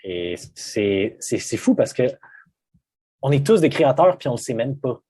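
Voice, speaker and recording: A man's voice: medium pitch, very animated, a little slow, dark tone, husky, dry recording. A man with a Québécois French accent, a guest speaking reflectively in casual conversation, with frequent hesitant restarts.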